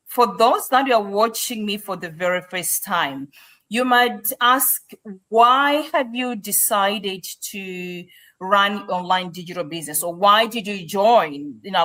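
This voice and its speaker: Guest speaker, female, Malawian accent, bright forceful voice, emphatic personal testimonial. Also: lowish voice. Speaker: guest, business coach.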